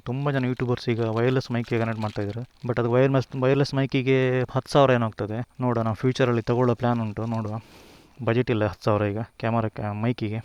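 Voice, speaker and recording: Fast-talking man, dry close sound. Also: medium pitch, darkish tone, smooth, no echo, highs cut off. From India. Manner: rambling, self-correcting, conversational. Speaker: motovlogger. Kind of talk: casual gear walkthrough.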